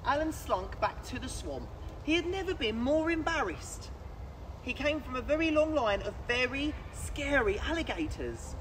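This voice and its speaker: Children's storyteller: man, twenties, expressive and projected, lively swings in pitch, outdoor recording with background noise. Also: normal speed. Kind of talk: animated picture-book read-aloud for children. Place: outdoors in woods, standing.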